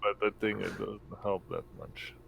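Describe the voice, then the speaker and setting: A man's voice: on the low side, little pitch movement, moderate pace, vocal fry, a loud crowd. A man playing a tabletop game, talking casually and hesitantly as he works out his moves aloud. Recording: faint background noise on mic.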